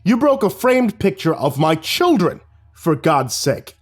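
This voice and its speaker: A man's voice: on the high side, big pitch swings, a little fast, darkish tone, soft background music. A man voicing a mild-mannered school principal who sputters and turns stern and demanding. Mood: stern anger.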